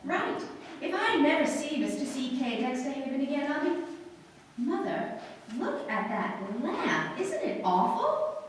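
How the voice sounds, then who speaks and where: A woman's voice: high voice, sing-song. A woman playing a headstrong, sarcastic young society bride in an old-time radio drama. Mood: scornful. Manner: brisk, sardonic, emphatic. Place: live stage performance. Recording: roomy hall, distant mic, faint noise.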